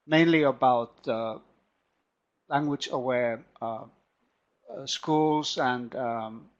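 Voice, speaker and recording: A middle-aged man with a Finnish accent gives an online lecture as a teacher trainer, speaking haltingly and often repeating words. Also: medium pitch. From Finland. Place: home study room. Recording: muffled lo-fi online call audio.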